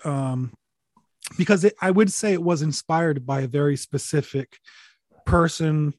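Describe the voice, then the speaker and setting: A man with a fairly high voice recalls his work in a loose, rambling way. He stumbles over restarts and fillers and swears casually. The sound is close and dry, with no room around it. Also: a little slow, darkish tone, no room sound. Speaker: interview guest, recording artist. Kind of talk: relaxed conversational storytelling.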